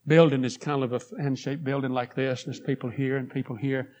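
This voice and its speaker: A hoarse man preaching a revival sermon in a church, telling a story and building to fervent appeals. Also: mid-range voice, flat delivery, brisk. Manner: rising to fervent pleas, then quiet prayer. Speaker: guest preacher. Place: church sanctuary.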